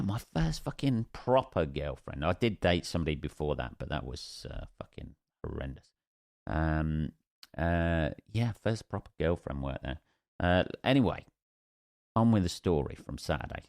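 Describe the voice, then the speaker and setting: A man's voice: a bass voice, a little mellow, no room sound, studio quality. A young man from Northampton with an English accent, a stand-up comedian telling a rambling, sweary story on his own podcast. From England. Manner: sweary, rambling, jokey asides. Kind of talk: casual solo podcast storytelling.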